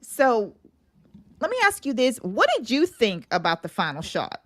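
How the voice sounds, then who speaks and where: A woman's voice: a little high, huge pitch swings, measured pace, a bit bright. A woman guest on a sports talk show, chatting casually and colloquially with plenty of opinion. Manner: conversational, colloquial phrasing.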